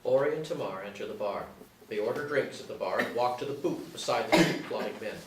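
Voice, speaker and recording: A man's voice: medium pitch, animated, a little fast. A man narrating, reading out the action lines of a screenplay in a scripted style. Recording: echoey room, distant mic, faint noise.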